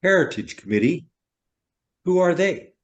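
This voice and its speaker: A man playing a middle-aged newcomer farmer in a stage play, musing and curious in a deliberate, ruminative manner. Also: high-pitched, very animated. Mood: puzzled. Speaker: actor in a staged reader's theater.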